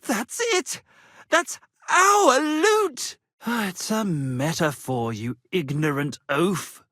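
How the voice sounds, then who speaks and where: Theatrical, pompous minstrel, swooping pitch. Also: male voice, animated, takes it slowly, sharp tone, no room sound, studio quality, exaggerated voice. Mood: elated, exclaiming. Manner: florid, grandiose, declamatory, swinging between rapture and despair. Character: pompous, flattering, melodramatic aspiring medieval minstrel, self-styled artist. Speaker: video game voice actor. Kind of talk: theatrical video game character acting.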